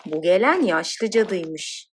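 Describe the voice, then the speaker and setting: A woman narrating a scripted children's fairy tale in an expressive, dramatic storytelling manner. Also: fairly low pitch, slow pace, husky.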